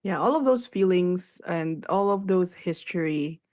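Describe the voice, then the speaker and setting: A woman's voice: on the low side, expressive, measured pace, a little mellow. A young woman from the Philippines hosting a radio talk show, chatting in a loose, reflective way and laughing often. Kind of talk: casual radio conversation.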